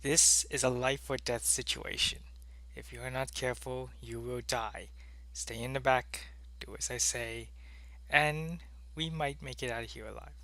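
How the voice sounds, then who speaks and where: A man playing a devoted alien soldier in an ASMR audio roleplay, with a gravelly, earnest and deliberate delivery. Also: medium pitch, fairly even intonation, sharp tone, dry recording. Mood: stern, concerned. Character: devoted alien soldier, gruff in battle, tender with his lover.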